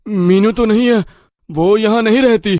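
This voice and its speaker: A woman's voice: medium pitch, moderate pace, dark tone, no room sound, clean studio sound, pitched below the performer's own voice, lightly acted. A woman voicing an anxious, stubborn young man, a reluctant groom who protests in a cartoon story. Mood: alarmed, protesting.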